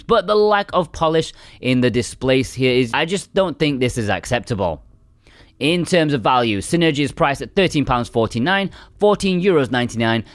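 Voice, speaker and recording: A man's voice: mid-range voice, no room sound. A man narrating a scripted video game review in a conversational, emphatic way.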